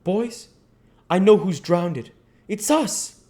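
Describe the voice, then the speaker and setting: Man as excitable boy adventurer, raised pitch, bold, emphatic, exclamatory, storybook character. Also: takes it slowly. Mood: thrilled discovery.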